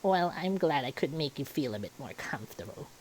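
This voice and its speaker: A man's voice: a little high, very animated, a little fast, slight background noise, voice pushed up, low-quality recording. A man voicing a polite, reserved raven doctor in a visual novel, a bit hesitant in manner. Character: reserved raven doctor, male, slightly annoyed, curious.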